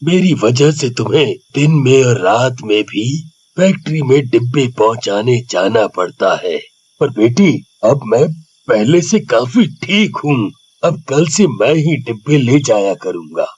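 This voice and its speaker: A gentle old father, played by a man talks slowly and tenderly. He sounds affectionate, in a storybook tale with slightly dull, lo-fi sound. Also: medium pitch, very animated, slightly rough.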